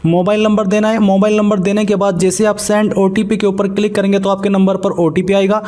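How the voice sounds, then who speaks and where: A man's voice: high-pitched, little pitch movement, brisk, a little mellow. A man presents an online tutorial. He explains each step in an instructional manner, dropping English terms into his narration.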